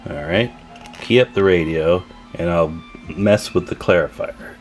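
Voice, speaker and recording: A man giving a casual hands-on repair tutorial, talking informally and haltingly as he thinks aloud while working. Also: sits low, faint music behind. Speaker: video host demonstrating CB radio tune-up.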